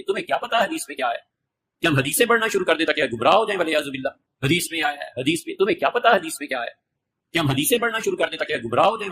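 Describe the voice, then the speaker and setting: A man's voice: a little high, a bit bright. A man preaching as a cleric in a scolding, insistent, repetitive manner. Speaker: religious cleric. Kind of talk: sermon. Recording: clear background noise and ambience.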